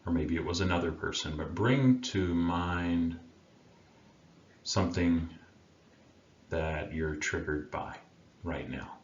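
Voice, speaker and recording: A man leading a guided meditation as a coach, speaking in a gentle, soothing manner with long unhurried pauses. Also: sits low. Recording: roomy, dull sound with faint background noise.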